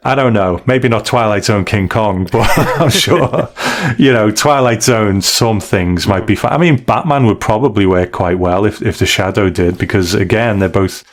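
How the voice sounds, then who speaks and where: A man hosting a podcast in a casual conversational style, measured and thinking aloud as he goes. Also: fairly low pitch. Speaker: podcast host.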